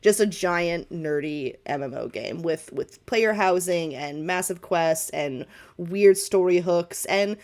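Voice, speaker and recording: A woman's voice: fairly low pitch, slow, few pauses, a little mellow. Rambling, candid woman running a game. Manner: rambling, candid, swears casually. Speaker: game master. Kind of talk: casual tabletop game narration.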